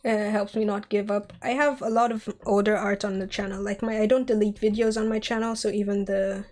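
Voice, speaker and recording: A woman's voice: medium pitch, flat delivery, a little fast, darkish tone. A young woman in her twenties streaming while she sculpts, chatty and giggly as she answers chat, her voice scratchy from a cold. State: scratchy from a sore throat and cold. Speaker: streamer, 3D artist.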